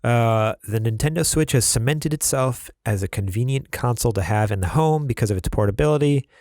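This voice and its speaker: A man's voice: medium pitch, brisk, darkish tone, dry recording, studio quality. A man co-hosting a gaming podcast, chatting casually and reading news stories aloud with his own asides. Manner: laid-back, reads stories aloud with asides. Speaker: podcast co-host.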